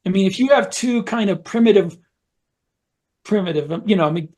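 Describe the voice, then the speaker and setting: A man's voice: high voice, a little fast, a little mellow. A man co-hosting a podcast, explaining things in a casual, storytelling way as part of a panel discussion. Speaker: podcast co-host.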